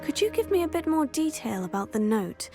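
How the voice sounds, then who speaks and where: A woman's voice: high voice, very animated, brisk, over music. A woman playing an earnest, composed teenage student witch in a video game, with a British accent. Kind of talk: video game voice acting, scripted dialogue.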